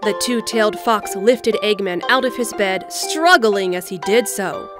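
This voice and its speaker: A woman's voice: medium pitch, unhurried. A woman reading scripted story narration as the narrator of an audio drama. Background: background music.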